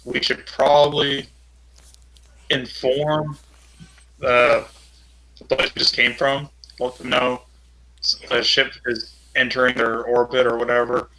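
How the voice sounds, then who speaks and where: Even-toned man with a bright voice. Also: mid-range voice, poor audio. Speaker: tabletop game player. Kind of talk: casual in-character tabletop play.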